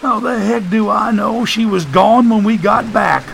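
A man's voice: high voice, unhurried, vocal fry, a bit bright, a little hiss or hum, pitched above the performer's own voice, full character voice. A man voicing a folksy, wisecracking man in an audiobook, full of sarcasm and mock-pompous big words. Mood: sarcastic.

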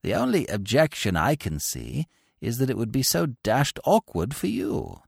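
A man reading aloud, voicing a lovesick young man who speaks softly, slowly and wistfully. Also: sing-song, vocal fry, breathy edge, dry recording, studio quality, full character voice. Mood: hushed. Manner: low, hushed, slow and wistful.

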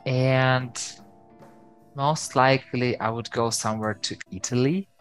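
A man with a Ukrainian accent, a guest on an interview podcast, talking in a lively, hesitant way full of fillers. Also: medium pitch, clean tone. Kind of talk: casual interview chat.

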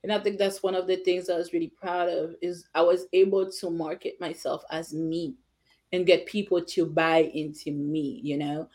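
A woman's voice: fairly low pitch, flat delivery, normal speed, a little mellow. A woman attorney and business owner talking as an interview guest, assured and emphatic, in short declarative phrases. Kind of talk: casual interview conversation.

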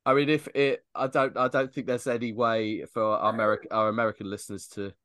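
A man hosting a casual sports podcast, chatty and quick to laugh as he steers the conversation. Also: mid-range voice, little pitch movement, fairly bright tone, dry recording, studio quality. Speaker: podcast host.

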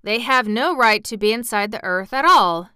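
A woman's voice: fairly high pitch, animated, moderate pace, sharp tone, clear, resonant tone, no echo, studio quality, pitched above the performer's own voice. A woman voicing a cold, imperious male prince of a plant people in a storybook reading, speaking formally and haughtily.

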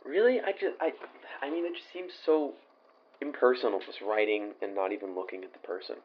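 A man's voice: medium pitch, brisk, dull audio, lightly acted. A man playing an unsure, inquisitive young new employee in an audio drama, awkward and hesitant. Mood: unsure, curious. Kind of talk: naturalistic audio drama acting.

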